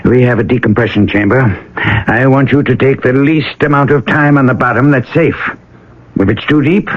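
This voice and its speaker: An actor playing a cautious, businesslike boss and backer of an expedition in a radio drama. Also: male voice, mid-range voice, normal speed, lightly acted. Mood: firm, cautious. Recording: dull vintage radio recording.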